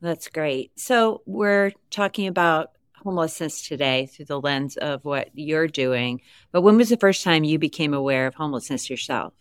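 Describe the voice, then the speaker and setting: A woman co-hosting a podcast, reading a measured scripted introduction and asking questions. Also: low-pitched, animated, clear, resonant tone, dry recording, clean studio sound. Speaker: podcast co-host. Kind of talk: scripted intro, then interviewing.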